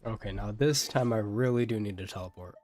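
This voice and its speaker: A man's voice: lowish voice, fairly quick, darkish tone. Slangy male game streamer. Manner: slangy, rambling. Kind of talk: casual live gaming commentary.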